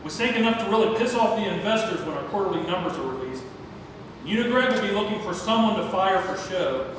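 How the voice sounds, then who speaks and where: Man playing high-voiced ranting executive, echoey. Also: animated, brisk. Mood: angry, urgent. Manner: ranting, urgent. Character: harried, cynical toy executive father on phone. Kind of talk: play table read. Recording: echoey hall, distant mic, heavy noise.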